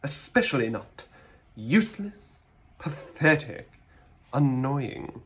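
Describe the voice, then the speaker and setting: A grumpy loner ogre, played by a man, speaking low and quiet for a comedy reel. The delivery is brooding and deliberate, with heavy pauses and contempt for company. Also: very animated. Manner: slow, brooding, pausing between words. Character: grumpy, solitary ogre who wants everyone gone. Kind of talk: dramatic comic reading in an impression.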